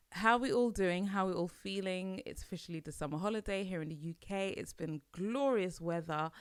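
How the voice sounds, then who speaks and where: A woman's voice: fairly low pitch, clear, resonant tone, no echo, studio quality. A young adult woman from Nigeria hosting a podcast, chatting casually and musing aloud with hesitant restarts. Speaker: podcast host. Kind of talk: casual solo podcast chat.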